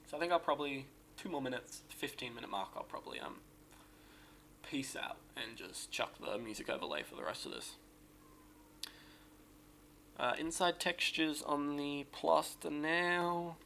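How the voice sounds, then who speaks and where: A man with a sore, raspy voice giving casual, rambling commentary on a game build and correcting himself as he goes. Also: on the high side, expressive, moderate pace, pauses often, sharp tone, slight background noise. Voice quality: raspy, gravelly. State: sore, hoarse voice. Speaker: game streamer.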